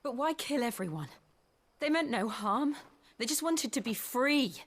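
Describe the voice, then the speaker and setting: A woman's voice: on the high side, animated, a little slow. A woman playing a compassionate, distressed young woman in a video game, earnest and pleading. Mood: pained concern. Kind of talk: video game cutscene acting.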